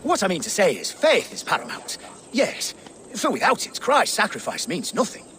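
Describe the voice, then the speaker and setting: An actor playing an earnest, scholarly monk in a video game, hesitant, pedantic and easily flustered. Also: male voice, high-pitched, wildly swooping pitch, moderate pace, sharp tone, slight background noise, full character voice. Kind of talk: video game cutscene acting.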